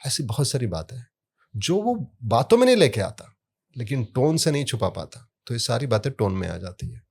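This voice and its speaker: A man explaining sound therapy in a relaxed podcast conversation, telling stories from his work and leaning hard on key words. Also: mid-range voice, normal speed, gravelly, breathy edge. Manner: storytelling with anecdotes, emphatic rises on key words.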